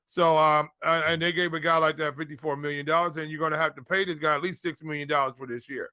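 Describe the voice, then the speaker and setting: A man's voice: fairly high pitch, fairly even intonation, quick pace, bright, forceful tone, smooth, no room sound. A man co-hosting a casual fantasy football show, talking colloquially and repeating phrases for emphasis. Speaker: fantasy football podcast co-host and analyst. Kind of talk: casual sports talk.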